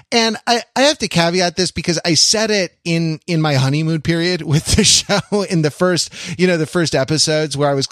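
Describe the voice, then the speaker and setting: A man hosting a podcast, talking casually and analytically, halting and digressive as he thinks aloud. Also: fairly high pitch, fairly bright tone, no room sound, studio quality. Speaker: podcast host. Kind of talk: casual analytical discussion of a TV show.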